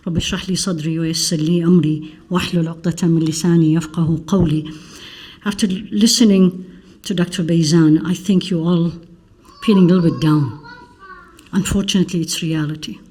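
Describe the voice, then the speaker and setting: A woman's voice: on the low side, dark tone. An earnest woman from Iraq lectures to a conference audience as a visiting physician. She presses her points with rhetorical questions and moves easily between English and recited Arabic prayers and verses. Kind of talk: faith-based public lecture.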